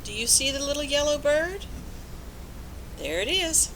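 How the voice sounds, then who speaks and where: Female storybook reader: high, very lively pitch, unhurried, instructive, faint rumble behind. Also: poor audio. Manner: expressive, instructive, addressing young children. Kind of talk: children's read-aloud, asking listeners questions.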